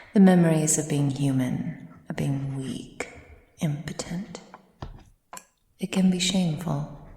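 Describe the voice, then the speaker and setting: Slow, hushed ancient vampire noblewoman, acted by a woman. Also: low voice, heavily acted. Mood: proud, reflective. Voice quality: breathy, soft, often near whisper. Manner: slow, formal, measured, imperious. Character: ancient aristocratic female vampire, composed, possessive, proud. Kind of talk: ASMR roleplay monologue. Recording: roomy sound with long reverb.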